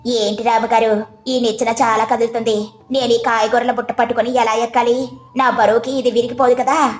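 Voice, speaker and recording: A woman plays a proud village daughter-in-law in a storybook tale. The voice is high and exclamatory, quick to complain. It sounds echoey, with music underneath. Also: level tone, close to an everyday voice. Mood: worried. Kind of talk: moral story character acting.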